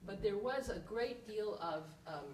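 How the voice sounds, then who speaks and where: An echoey recording, picked up from some distance with faint noise, holds a woman's medium-pitched voice. She talks conversationally as part of a panel, choosing her words in a measured, slightly hesitant way. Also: expressive, a little mellow. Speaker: panel speaker. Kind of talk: conversational recollection in a group discussion. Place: indoor library event room, far microphone.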